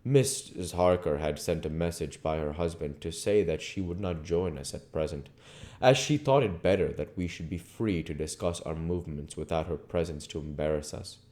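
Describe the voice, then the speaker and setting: A man reading a classic novel aloud as an amateur audiobook reader, in a measured, formal way. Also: deep voice, darkish tone.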